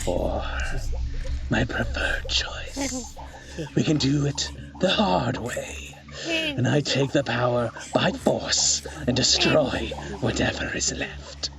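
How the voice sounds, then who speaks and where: An actor playing a grandiose, menacing villain warlord in a fantasy audio drama, with a theatrical, drawn-out delivery. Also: male voice, mid-range voice, huge pitch swings, vocal fry, distant mic, a crowd in the background, heavily acted. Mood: menacing, threatening.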